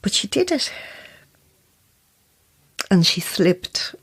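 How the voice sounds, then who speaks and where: A woman's voice: medium pitch, sing-song, extremely slow, breathy edge, dry recording, exaggerated voice. A woman playing a sceptical, guilt-ridden novelist in an audio horror drama. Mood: guilty, confessional.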